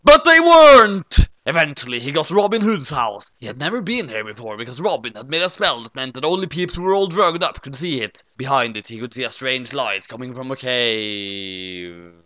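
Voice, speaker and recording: A man's voice: medium pitch, sing-song, normal speed, sharp tone, dry recording. A man reading a comic story aloud in an exaggerated, mock-serious dramatic way.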